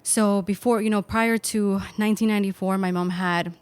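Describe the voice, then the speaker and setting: A woman's voice: mid-range voice, steady tone, moderate pace, clean tone, no room sound. A woman from Mexico, a podcast guest, speaking thoughtfully in casual conversation with many fillers. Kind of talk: conversational storytelling.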